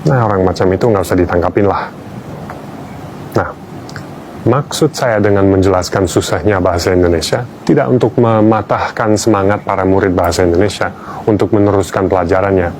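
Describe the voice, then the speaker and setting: A man's voice: sits low, fairly quick, darkish tone, a little hiss or hum. A man from Australia, a native English speaker, giving a wry, playful public talk as a language teacher.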